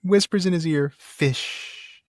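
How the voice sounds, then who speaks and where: A man's voice: on the high side, takes it slowly, no room sound. A man hosting a podcast, talking through books in a casual, conversational and emphatic way. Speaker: podcast host. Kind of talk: casual literary discussion.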